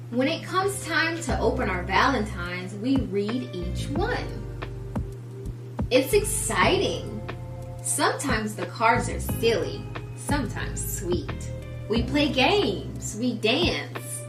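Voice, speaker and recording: A woman hosting a children's story time, reading aloud with deliberate phrasing and speaking directly to young listeners. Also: fairly high pitch. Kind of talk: children's picture book read-aloud. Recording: roomy, distant mic, music underneath. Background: music.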